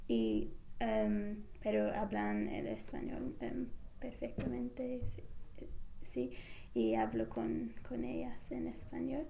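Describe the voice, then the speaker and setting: Slow, halting woman with a gravelly voice. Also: medium pitch, very animated, warm tone, slight background noise, poor audio. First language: English. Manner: many fillers, searches for words. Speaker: interviewee, language learner back from a year abroad. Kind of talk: halting, hesitant answers.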